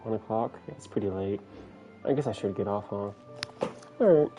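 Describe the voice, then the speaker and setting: A man's voice: lowish voice, unhurried, mellow, soft background music. A man giving casual, informal commentary as he livestreams a video game, thinking aloud as he plays.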